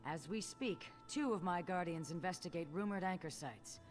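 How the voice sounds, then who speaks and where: An actor, a woman, playing an earnest, newly appointed guildmaster in a fantasy game, speaking in a measured, formal way. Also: on the low side, lively intonation, lightly acted. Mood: businesslike. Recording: game music underneath.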